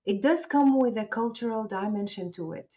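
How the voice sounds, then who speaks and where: A woman whose first language is Arabic talks in a polite, thoughtful, wandering way as an interview guest. Her voice sits at a middle pitch. The sound is dull and lo-fi. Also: animated, moderate pace, dark tone. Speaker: guest, agency founder. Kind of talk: interview conversation.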